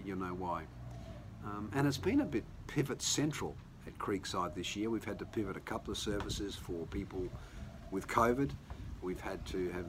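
A man preaches in a relaxed, conversational way, his very low voice a little croaky from a cold. He often restarts his sentences as he talks from his home. A faint hum sits behind him. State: hoarse. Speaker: pastor. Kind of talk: sermon.

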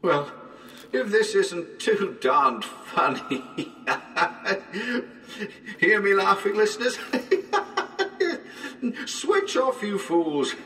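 A man playing a radio broadcaster unravelling into madness in a haunted house, halting and muttering, then breaking into exclamations. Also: on the high side, pushed and bright, slightly rough, voice pushed up, exaggerated voice. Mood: hysterical, mad. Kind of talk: dramatic reading of a live radio broadcast.

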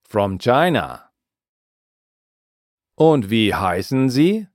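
Male podcast teacher for scripted lessons: slow, deliberate delivery, lively rise and fall in a lowish voice. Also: no room sound, clean studio sound. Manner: clear, deliberate, repeats phrases for learners. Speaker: language teacher and podcast host.

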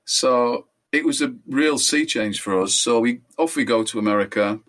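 An older Englishman with a naturally high voice tells long, rambling stories from his days as a musician. He talks casually and keeps correcting himself mid-sentence, speaking at a middle pitch for a man. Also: normal speed, a bit bright. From England. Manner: rambling, chatty, self-correcting anecdotes. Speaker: musician guest. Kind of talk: relaxed storytelling interview.

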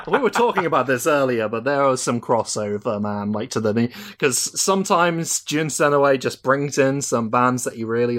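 A man co-hosting a casual chat show, speaking in a loose, wry, halting way. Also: mid-range voice, fairly bright tone. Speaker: podcast co-host.

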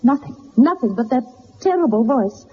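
An actress playing an earnest, distressed young governess who speaks formally and hesitantly in a radio drama. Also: female voice, sits high, lively intonation, moderate pace, husky, lo-fi sound. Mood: haunted, distressed. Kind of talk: dramatised detective radio play.

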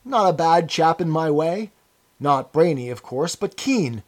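A man's voice: on the high side, animated, moderate pace, a little mellow. A man voicing an anxious, servile middle-aged prisoner who pleads eagerly in a chummy, sanctimonious way for an audiobook. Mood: frightened pleading. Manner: pleading, chummy, sanctimonious, chatty old-fashioned British idiom.

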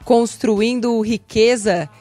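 A woman's voice: on the high side, fairly even intonation, slow, warm tone. Playful woman host over light music. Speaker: show host. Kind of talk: lively radio show hosting.

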